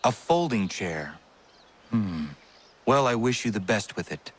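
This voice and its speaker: An actor playing a stoic, earnest young colonial-era assassin in a video game, speaking in a stiff, formal way. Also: male voice, on the low side, animated, unhurried. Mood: reserved, puzzled. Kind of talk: video game character acting.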